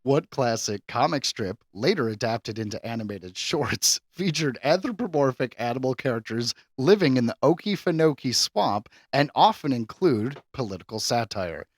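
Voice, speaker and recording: Male podcast quizmaster, mid-pitched and highly animated, theatrical announcer touches, casual banter, very dry recording. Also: moderate pace, few pauses, no room sound. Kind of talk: hosting a pop culture quiz, casual chat.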